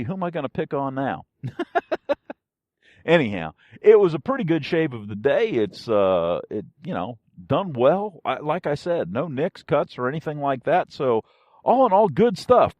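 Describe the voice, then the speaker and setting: Male podcast host, folksy and halting, animated pitch, dry lo-fi recording. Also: dry recording. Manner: halting, rambling, searching for words. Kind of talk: casual solo podcast monologue. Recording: dull, dry lo-fi audio.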